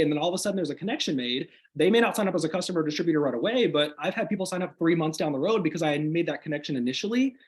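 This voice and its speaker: Fast-talking upbeat man, slightly hoarse. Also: fairly high pitch. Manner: energetic, motivational, many "like" fillers. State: slightly hoarse, recovering from illness. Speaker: guest sharing business tips. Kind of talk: casual video call chat. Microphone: video call microphone.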